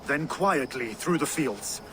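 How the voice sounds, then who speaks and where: An actor playing an earnest, resolute warrior ally in a video game, speaking formally. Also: male voice, medium pitch, lively intonation, slow, a bit bright, slight background noise. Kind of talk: dramatic video game acting.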